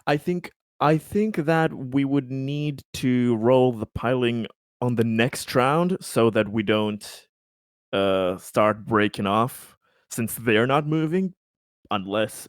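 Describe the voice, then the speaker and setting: A man with an average-pitched voice talks casually as a player at a tabletop game. He sounds tentative, working out options aloud, recorded close and dry. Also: very animated, takes it slowly, no echo.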